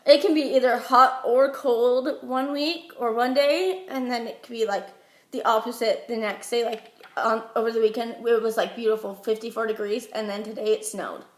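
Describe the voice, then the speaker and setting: A young American woman from Utah giving chatty, halting answers as a job applicant in an interview, while getting over a head cold. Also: on the high side, fairly even intonation. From Utah, United States. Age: young adult. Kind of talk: informal phone interview answers. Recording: roomy echo.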